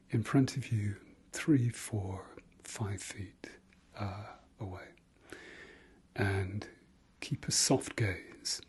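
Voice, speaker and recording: Male meditation guide: lowish, very slow, whispered ASMR delivery, soft and hesitant. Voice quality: breathy, whispery. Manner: soft, gentle, hesitant pauses with trailing repeats.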